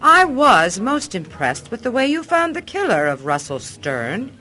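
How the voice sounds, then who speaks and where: Woman acting a rich society hostess, theatrical, high bright voice, radio mystery. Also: very animated, measured pace, full character voice. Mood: gushing. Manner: theatrical, grand. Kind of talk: radio drama dialogue.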